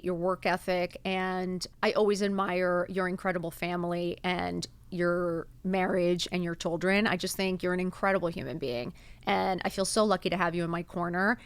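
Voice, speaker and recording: A woman's voice: fairly low pitch, clean tone, no echo. A woman in her fifties hosting a talk show, gushing and effusive as she welcomes her best friend. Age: 50s. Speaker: podcast host. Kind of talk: casual chat.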